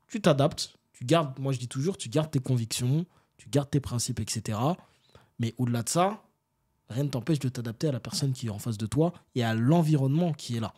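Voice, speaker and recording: A man from France, an interview guest telling stories in casual, animated, slangy talk and often seeking agreement. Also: mid-range voice, husky. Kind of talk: casual conversational storytelling.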